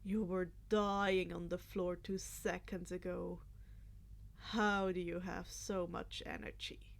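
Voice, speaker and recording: A woman voicing a weary, dry-humored teenage boy who grumbles in a deadpan way in a story reading. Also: fairly low pitch, slow pace, vocal fry, voice pushed down. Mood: grumbling.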